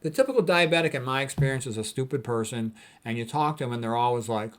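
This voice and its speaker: A man's voice: medium pitch, lively intonation, fast talker. A man giving an informal lecture as a physician, commenting on quotes in a blunt, dismissive, colloquial way and repeating his points emphatically.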